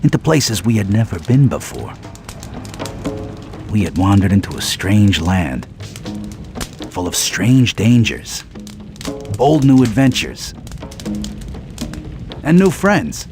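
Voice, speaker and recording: An actor voicing a gruff, sardonic mammoth father in a cartoon game, telling a bedtime story with wry, dramatic delivery. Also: on the low side, big pitch swings, takes it slowly, long pauses, full character voice. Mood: storytelling. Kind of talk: animated storytelling to a young child. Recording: loud music behind the voice.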